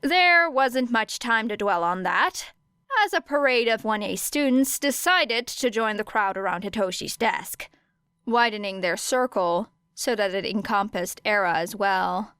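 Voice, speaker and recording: A woman narrates fiction as an audiobook reader. Her voice is smooth and clear at a medium pitch, recorded close and dry. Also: a little slow, a bit bright, no room sound. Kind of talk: scripted story narration.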